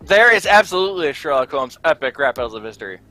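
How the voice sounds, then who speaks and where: A man's voice: a little high, very animated, fast talker, crowd noise. A man chatting casually on a group livestream in a wry, joking way. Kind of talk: casual chat.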